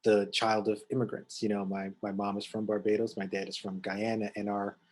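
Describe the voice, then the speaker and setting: Middle-aged New man, panelist on a video call, low husky even voice, halting. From United States. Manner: halting, many restarts and fillers.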